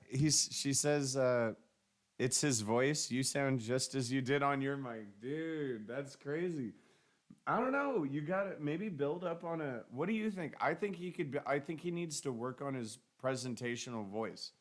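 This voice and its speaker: A man with a deep, bassy voice, co-hosting a casual stream chat in a loose, joking way. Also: a little slow. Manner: loose, joking, talks about mic setup. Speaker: stream co-host.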